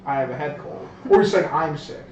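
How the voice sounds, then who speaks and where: Joking player heard from across the room. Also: male voice, on the high side, fairly quick, roomy sound, distant mic, muffled recording, a loud crowd. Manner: joking, offhand interjections. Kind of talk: casual banter at the table.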